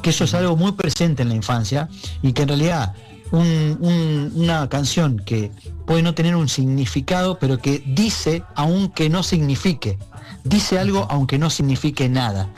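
A man with an Argentine accent speaking as a musician guest in an interview, reflective and halting, often checking for agreement. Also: fairly high pitch, animated, a little mellow, faint music behind. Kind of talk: conversational interview.

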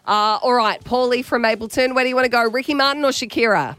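A woman's voice: fairly high pitch, quick pace, a bit bright, no echo, studio quality. A woman hosting breakfast radio, upbeat and playful, speaking with a cold. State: has a cold. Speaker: radio host. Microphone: studio mic.

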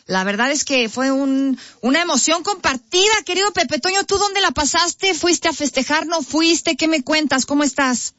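A woman's voice: high-pitched, lively intonation, moderate pace, few pauses, fairly bright tone, no echo, muffled recording. Upbeat Mexican woman radio host.

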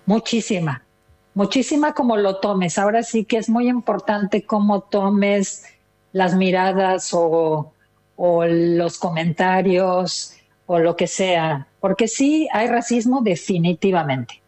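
Older female interview guest, Mexican accent, medium pitch, casual and chatty storyteller. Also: takes it slowly, darkish tone. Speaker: interview guest, retired listener.

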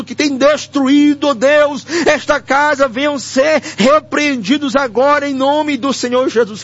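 A man's voice: extremely high pitch, fairly bright tone. A man with a Brazilian accent leads a fervent evangelical prayer as a church elder, pleading with repeated invocations. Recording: dull lo-fi broadcast audio. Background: clear background music.

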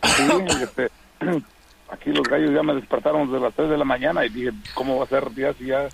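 A man's voice: mid-range voice, steady tone, moderate pace, creaky, very noisy. A man from Oaxaca, Mexico, calling in to a radio show to send long greetings, rambling with many hesitant fillers. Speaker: radio caller. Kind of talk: casual phone-in greetings.

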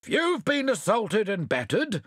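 A man's voice: sits high, sing-song, a little slow, a bit bright, dry recording, clean studio sound, voice pushed up, heavily acted. A man voicing a pompous, cowardly town mayor who blusters and gives orders. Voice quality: blustering, self-important.

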